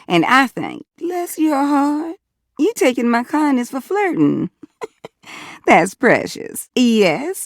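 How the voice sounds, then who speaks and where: Teasing, gossipy Southern appliance character for a game: animated, sweet-talking, gravelly, close dry sound. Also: female voice, mid-range voice, no room sound. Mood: coy, then flustered, teasing. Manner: sweet-talking, teasing, drawling terms of endearment. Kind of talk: video game character voice acting.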